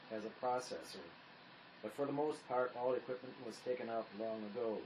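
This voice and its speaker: A man's voice: mid-range voice, noisy background. A man reading stage directions as the narrator of a drama, in scripted narration.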